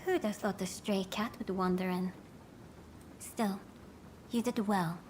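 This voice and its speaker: A woman's voice: medium pitch, steady tone, slow, noisy background, close to an everyday voice. A woman playing a haughty, scheming noblewoman of feudal Japan in a game cutscene, speaking in an imperious, formal way. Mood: smug, composed. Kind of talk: dramatic video game cutscene acting. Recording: music behind the voice.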